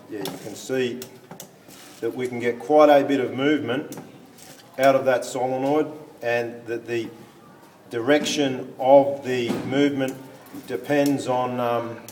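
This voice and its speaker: A man explains a physics demonstration step by step as a teacher, haltingly thinking aloud while he handles equipment. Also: medium pitch, darkish tone. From Australia. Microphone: phone camera some way off. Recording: distant phone recording, background hiss.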